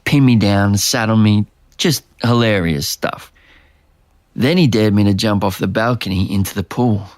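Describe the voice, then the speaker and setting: An actor playing a troubled, self-doubting young man, husky and gravelly, speaking in a casual, self-deprecating way in a naturalistic audio drama. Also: lowish voice, moderate pace, a little mellow, no room sound, studio quality, lightly acted. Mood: wry, bitter. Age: young adult.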